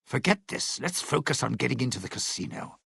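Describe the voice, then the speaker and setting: An actor playing a smug, suspicious old lounge singer and thief in a video game, with a raspy, gravelly voice and a sardonic showman's delivery. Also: medium pitch, big pitch swings, brisk, fairly bright tone, no echo, studio quality, full character voice. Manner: sardonic, slangy showman's patter. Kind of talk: in-game character dialogue and epilogue narration.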